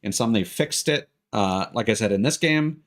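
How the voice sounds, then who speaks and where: A man's voice: on the low side, animated, moderate pace, fairly bright tone. A man chatting casually as he streams a game, rambling and self-deprecating, with some swearing. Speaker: live streamer. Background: cartoonish upbeat game music.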